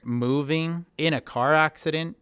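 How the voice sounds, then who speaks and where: A man's voice: fairly high pitch, a little slow, fairly bright tone, clear, resonant tone, dry recording. A man hosting an education podcast interview, enthusiastic and affirming toward his guest. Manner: enthusiastic, affirming, uses "razzle dazzle". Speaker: podcast host.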